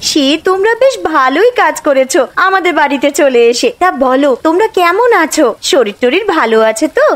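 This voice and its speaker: Casting: female actor as cartoon village housewife, high animated voice, caring yet bossy, exclaiming, music underneath. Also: a little fast. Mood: surprised, welcoming. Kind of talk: cartoon voice acting. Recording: music behind the voice.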